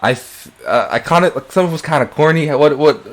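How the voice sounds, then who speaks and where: A man's voice: a little high, animated, fairly quick, faint music behind. A man hosting a casual movie-review chat, ranting with profanity and opinions, often repeating and stumbling over his phrases. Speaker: podcast host.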